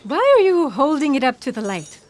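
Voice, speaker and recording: An actress playing an earnest, excitable and quirky paleontologist in comic audio theatre, eager and emphatic. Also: female voice, high voice, brisk, soft background music. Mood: emphatic, puzzled.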